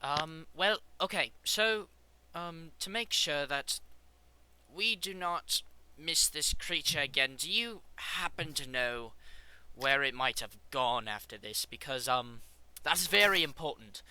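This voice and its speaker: A man voicing a nervous, fussy male doctor who frets aloud in a high, excitable voice. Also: slow pace, clean tone, heavily acted, poor audio. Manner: anxious, fretful, high excitable voice.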